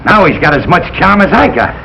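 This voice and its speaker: An actor playing a blustering, good-hearted Brooklyn working-class husband, blunt and slangy, in old broadcast sitcom style. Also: male voice, high-pitched, sing-song, fairly quick, vocal fry, bright, forceful tone, lo-fi sound, exaggerated voice. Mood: jovial, excited. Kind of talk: 1940s-50s broadcast sitcom acting. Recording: noisy old broadcast recording.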